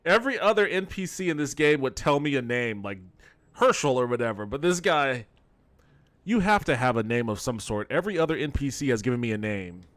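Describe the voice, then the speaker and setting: A man's voice: medium pitch, animated, fairly quick, fairly bright tone, dry recording. A man doing casual gameplay commentary as a streamer, talking in an amused, incredulous, sweary way. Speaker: video game streamer and podcast host.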